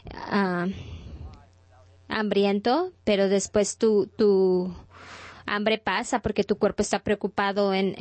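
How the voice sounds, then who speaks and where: A woman's voice: mid-range voice, a little mellow, no room sound. A woman delivering a sermon in Spanish translation, talking in a conversational storytelling way with frequent restarts.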